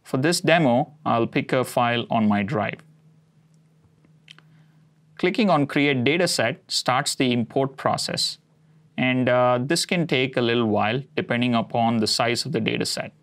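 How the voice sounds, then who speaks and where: A man in his forties whose first language is Konkani, presenting a cloud product demo in a steady, explanatory way with occasional fillers. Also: mid-range voice, measured pace. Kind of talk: scripted tech demo narration. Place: studio set with map backdrop.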